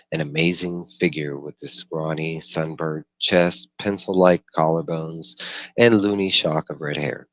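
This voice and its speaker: Male amateur audiobook reader: extremely low pitch, dry close sound, slightly halting narration. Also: a bit bright, dry recording, poor audio. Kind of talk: reading a novel aloud, narration.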